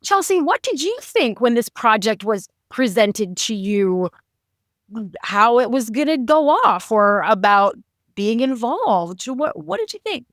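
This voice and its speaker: A woman with a fairly high voice hosts a conversational interview. She addresses her guests as a podcast interviewer, recorded very dry with no room sound. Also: big pitch swings, measured pace, fairly bright tone, dry recording.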